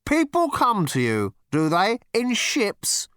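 A man's voice: high-pitched, sing-song, measured pace, a bit bright, no echo, clean studio sound, pitched above the performer's own voice, full character voice. A man voicing a stiff, indignant male official in a storybook, questioning insistently and with exasperation. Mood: impatient, insistent.

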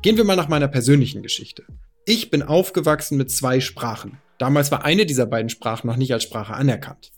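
A man in his thirties from northern Germany, calmly and methodically explaining language families in a personal monologue. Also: mid-range voice, brisk, faint music behind. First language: Low German. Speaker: podcast host.

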